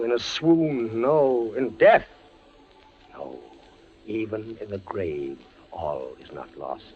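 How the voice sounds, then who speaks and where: An actor playing a young army captain condemned by the Inquisition, speaking in an emotional, halting way in 1940s radio style. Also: male voice, medium pitch. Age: young adult. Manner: emotional, broken, halting delivery. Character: young male captain facing execution, defiant then terrified. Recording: dull old radio broadcast with hiss.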